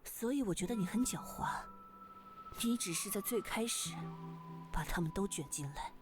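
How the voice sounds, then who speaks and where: Mid-pitched, reproachful young woman played by an actress. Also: lively intonation, normal speed, a little mellow, close to an everyday voice. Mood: accusing. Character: wary, reproachful, resolute young woman in a visual novel. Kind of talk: visual novel voice acting. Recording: background music behind the voice.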